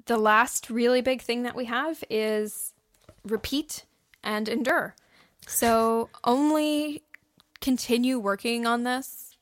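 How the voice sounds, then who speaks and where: A woman's voice: a little high, steady tone, slow pace, no room sound. A young woman co-hosting a podcast, giving casual, earnest and encouraging advice. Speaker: podcast host and audio drama producer. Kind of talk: casual how-to podcast talk.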